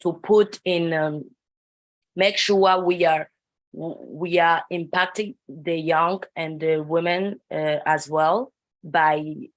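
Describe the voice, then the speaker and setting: A young woman from Congo, with a Congolese accent and English as a second language, introduces herself on an online call. She speaks slowly and haltingly in a slightly low voice, with a close, dry sound. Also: steady tone, dry recording. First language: not English, speaks it as a second language. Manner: halting, searching for words. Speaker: youth organization ambassador introducing herself. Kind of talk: unscripted self-introduction.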